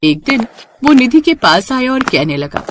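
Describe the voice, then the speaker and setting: A woman's voice: a little high, big pitch swings, normal speed, slight background noise. A woman narrating a scripted moral story for a cartoon.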